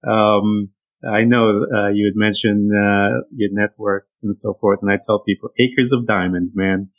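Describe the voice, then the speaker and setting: A man's voice: lowish voice, flat delivery, a little slow. A man interviewing a guest, laid-back, with frequent fillers. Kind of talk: casual interview.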